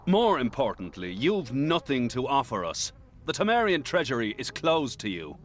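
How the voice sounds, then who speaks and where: A proud, cold nobleman in a fantasy game, voiced by a man, haughty and clipped, his pitch moving in lively swings. Faint outdoor ambience hangs in the background. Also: moderate pace, slight background noise, natural voice.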